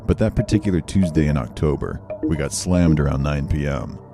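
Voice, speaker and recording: A man narrating an animated horror story in a scripted storytelling style. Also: a bass voice, brisk, over music.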